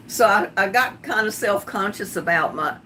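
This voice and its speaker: A woman's voice: mid-range voice, bright, forceful tone, a little hiss or hum. An older woman from North Carolina with a Southern accent touched by Texas, chatting casually on a livestream as a gardening channel host and telling stories with frequent laughs. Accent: Southern US with some Texas. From North Carolina, USA. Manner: chatty storytelling, laughs often.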